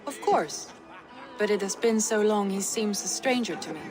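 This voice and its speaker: Actress as a frank Viking wife, medium pitch. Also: female voice, normal speed, lightly acted. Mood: wry, candid. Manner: wry, frank. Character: candid, dryly humorous young Viking wife. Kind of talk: dramatic game cutscene dialogue. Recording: busy crowd and music behind.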